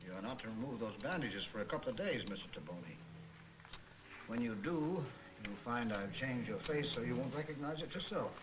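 An actor playing a soft-spoken, menacing professor of crime in a 1940s film serial, formal, measured and sardonic. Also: male voice, mid-range voice, animated, close to an everyday voice, low-quality recording. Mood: sardonic, calm. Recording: old 1940s film soundtrack, music behind.

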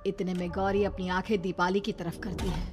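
A woman's voice: lowish voice, flat delivery, a little fast, over music. A woman narrating a scripted horror story.

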